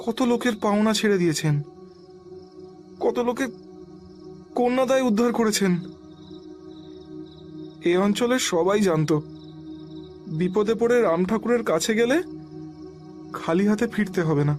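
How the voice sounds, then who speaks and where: A bereaved village man in an audio drama. His high voice moves very slowly, choked with tears as he pleads with reverence. Music plays behind him. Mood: tearful, pleading. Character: grieving younger brother, pleading village man.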